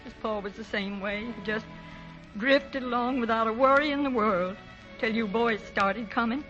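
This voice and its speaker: A woman plays a homely, tender older American widow in a 1940s radio drama. Her voice is a little high and plainspoken. The vintage recording is dull, with faint music. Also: normal speed, a bit bright, lightly acted. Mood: wistful.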